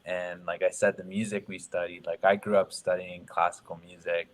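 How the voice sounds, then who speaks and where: A man's voice: deep voice, level tone, normal speed. A young man from Ottawa, a drummer guest, talking in a thoughtful, rambling way. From Ottawa, Canada. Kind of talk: casual interview chat.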